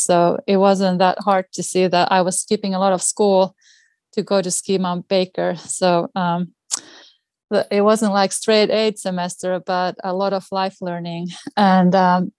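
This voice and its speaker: A woman's voice: lowish voice, level tone, a little slow, darkish tone, no room sound. Finnish-accented woman, dry close recording. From Finland. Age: middle-aged. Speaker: podcast guest, outdoor educator. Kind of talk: casual interview chat.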